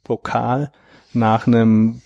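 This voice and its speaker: A man in his thirties, a guest in an interview, explaining at length in a reflective, measured way with a gravelly, husky voice. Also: medium pitch, little pitch movement, dark tone, dry recording, muffled recording. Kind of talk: conversational interview.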